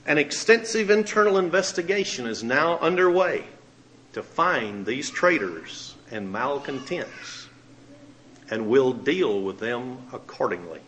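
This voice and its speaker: A man's voice: medium pitch, fairly bright tone. A man, a magazine editor and radio minister, giving an emphatic dramatic reading of a letter.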